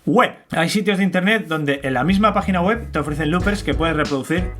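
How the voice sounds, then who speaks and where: A man's voice: fairly high pitch, brisk, a bit bright, faint music behind. A man with a Spain Spanish accent presenting an informal tutorial in a colloquial, chatty way, with conversational asides.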